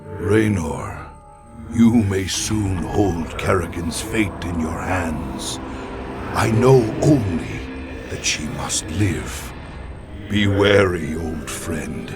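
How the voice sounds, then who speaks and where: An actor playing an old, wise alien mystic warrior in a video game cinematic, grave, sorrowful and formal. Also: male voice, sits low, animated, full character voice. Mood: urgent warning. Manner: formal, portentous, measured. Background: cinematic music.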